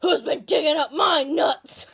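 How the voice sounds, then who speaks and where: A woman voicing a mean, accusing storybook squirrel who shouts in a drawn-out way. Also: sits high, vocal fry, pitched above the performer's own voice, exaggerated voice. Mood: angry, accusing.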